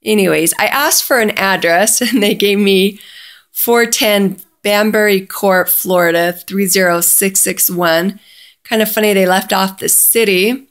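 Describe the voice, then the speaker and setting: A woman speaks with noticeable vocal fry at a middling pitch. She is casual and chatty, recounting her own experience as a story and slipping into quoted dialogue as she goes. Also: unhurried. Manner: chatty, recounts dialogue by quoting both sides. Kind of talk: casual first-person storytelling.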